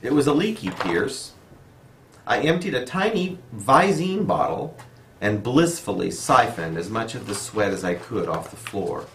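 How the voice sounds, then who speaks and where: A man's voice: fairly low pitch, very animated, a little slow, a bit bright. A man reading poetry aloud as a poet at a live home reading. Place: room in a home. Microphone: camera microphone, no dedicated mic. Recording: roomy echo, faint noise, amateur video.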